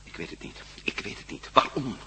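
An actor playing a suspicious, brooding prisoner in a radio drama, agitated and questioning. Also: male voice, a little fast, sharp tone, slight background noise, natural voice. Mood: puzzled, troubled.

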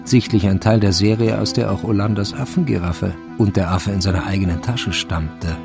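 A man narrating a radio crime drama as a measured, literary storyteller. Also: lowish voice, creaky, over music.

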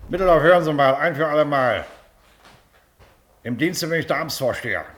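A man's voice: on the high side, lively intonation, fairly quick, a bit bright. A man playing a pompous, self-important Prussian baron and district official in a German radio play, officious and commanding, hemming and hawing as he interrogates. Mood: imperious.

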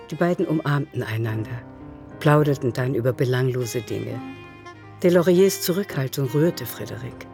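A woman narrating a literary radio drama as a storyteller. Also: extremely low pitch.

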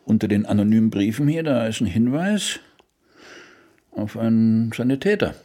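A male actor in a German radio crime drama playing a sardonic police investigator who questions pointedly, with a gravelly, husky voice. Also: fairly low pitch, animated, normal speed, dark tone. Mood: stern, sardonic.

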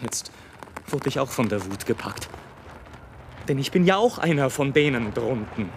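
An actor playing an anguished, visionary balloonist in a literary radio play, declaiming a solemn dramatic monologue. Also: male voice, mid-range voice, expressive, normal speed, background noise, lightly acted. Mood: anguished, bitter. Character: anguished, visionary balloonist narrating war below, bitter and rapturous.

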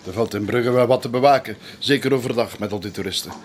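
An actor playing a defensive, prickly security chief in a crime drama. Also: male voice, medium pitch, fast talker, a little hiss or hum, lightly acted. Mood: dryly courteous. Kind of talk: Flemish broadcast crime drama acting.

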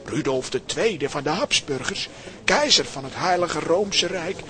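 Grandiose market trader, highish voice. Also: male voice, animated, unhurried. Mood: smooth selling. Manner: salesman's patter, pompous. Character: flattering, grandiose male market trader peddling curiosities. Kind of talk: children's audio drama acting. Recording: busy market crowd behind.

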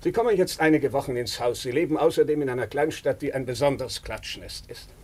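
An actor playing a blunt, shrewd doctor with a deliberate, sardonic, probing manner in a German radio drama. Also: male voice, mid-range voice, darkish tone, close to an everyday voice. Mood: ironic, probing. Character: blunt, shrewd, bespectacled male country doctor.

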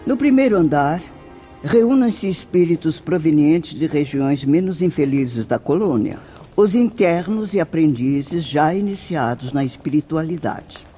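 A woman's voice: low-pitched, animated, unhurried, mellow, soft background music. A Brazilian woman playing a composed, formal spirit sister who instructs newcomers in a radio drama. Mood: composed, instructive. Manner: formal, didactic.

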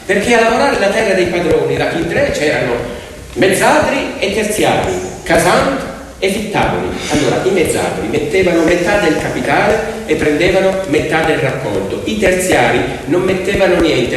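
A man's voice: fairly high pitch, slight background noise. A man telling folk tales on stage in a theatrical narrating style. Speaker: stage storyteller and actor. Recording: very echoey hall, distant mic, piano music.

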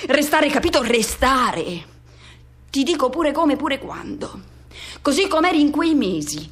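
A woman acting a radio theatre monologue as a yearning, tormented man recalling first love, in short, halting, insistent repeated phrases. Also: sits high. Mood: intense, bitter. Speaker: actor.

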